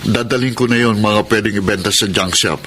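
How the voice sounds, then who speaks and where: Very deep-voiced, remorseful father, acted by a man. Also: steady tone, fairly quick, a bit bright, slight background noise, lightly acted. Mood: subdued, practical. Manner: apologetic, earnest. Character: remorseful, conciliatory middle-aged father and husband. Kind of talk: radio drama acting.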